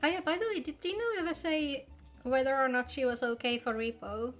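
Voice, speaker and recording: A woman with a fairly high voice talks casually as she streams a game. Her manner is playful and informal, with some loose swearing. Music plays behind her. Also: a little fast, a bit bright. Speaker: live streamer playing an online game. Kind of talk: casual gaming livestream chat.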